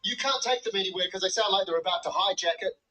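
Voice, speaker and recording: A man performing a stand-up comedy bit with quick, punchy delivery. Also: sits high, pushed and bright, low-quality recording.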